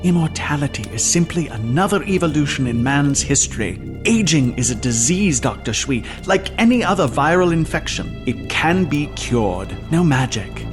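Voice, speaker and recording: An actor playing a calm, commanding envoy making a persuasive offer in a sci-fi audio drama. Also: male voice, a little high. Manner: persuasive, grave, measured. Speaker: envoy of an immortal society. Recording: music bed under the voice.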